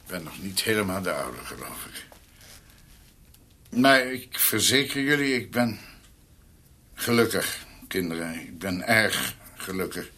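A frail, fatherly older master of a radio play. His voice is low and raspy with a bright, forceful edge, and he speaks kindly and haltingly. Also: lively intonation, heavily acted. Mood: moved, glad. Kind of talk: Dutch radio drama acting.

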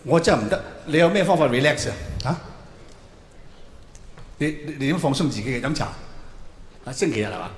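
A man's voice: a little high, a little fast, pauses often. A man with a Hong Kong Cantonese accent doing live stand-up comedy, telling stories with mock dialogue and asking the audience questions. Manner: animated, mimics characters in mock dialogues, rhetorical questions. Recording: echoey hall with long reverb, faint noise.

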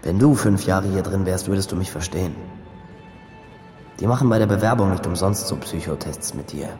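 Cocky brother, slangy, teasing, protective, echoing virtual space, music behind, radio drama. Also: male voice, low-pitched, brisk, a little mellow. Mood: firm, protective. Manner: boastful, teasing, casual slang. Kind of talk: naturalistic radio drama acting. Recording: reverberant hall sound, music behind.

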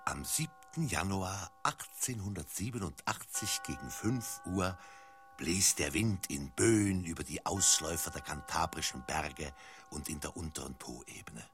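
An actor playing a lively announcer in a comic radio play. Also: male voice, low voice, measured pace, soft background music, exaggerated voice. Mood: narrating. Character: animated male radio announcer and studio hand.

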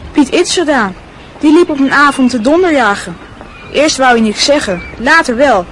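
A woman's voice: sits high, expressive, moderate pace, slight background noise, low-quality recording. A woman playing a gossiping schoolboy. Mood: secretive.

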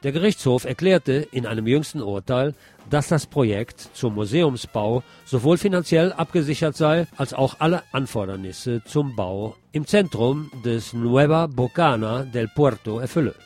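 A man's voice: mid-range voice, animated, normal speed, darkish tone. A man presenting scripted local news on a radio show, with music behind him.

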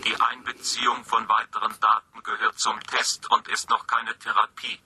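An actor playing an impassive, formal psychiatric testing machine in a science fiction radio play, speaking in clipped clinical phrases. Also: male voice, lowish voice, completely flat delivery, normal speed, sharp tone, a little hiss or hum.

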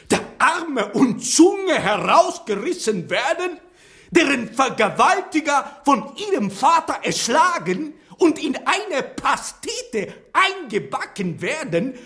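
Proud Spanish poet, scornful, theatrical, hugely varied pitch, deliberate pace, radio play. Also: male voice, heavily acted. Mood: outraged. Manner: haughty, theatrical, ranting. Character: proud, scornful, hot-tempered Spanish playwright. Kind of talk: German radio drama acting.

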